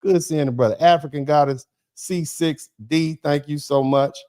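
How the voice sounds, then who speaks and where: A man hosts a loose, rambling livestream as a lawyer chatting with his audience. He talks straight to his viewers, laughs easily, and his voice jumps way up when he gets excited. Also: on the high side, normal speed. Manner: laughs often, addresses the chat directly. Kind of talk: casual livestream chat thanking viewers.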